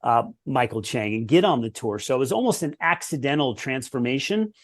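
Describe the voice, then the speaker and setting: A man's voice: mid-range voice, lively intonation, a little fast. A man in his forties from the United States, a former pro tennis player, telling his story as a podcast guest in an earnest, reflective way. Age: 40s. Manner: reflective, earnest, with lists and restarts. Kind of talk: conversational interview storytelling.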